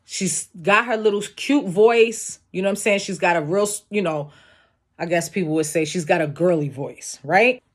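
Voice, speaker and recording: Deep raspy-voiced woman breaking down rap lyrics. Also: moderate pace. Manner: animated, profane, emphatic. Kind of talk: casual solo commentary.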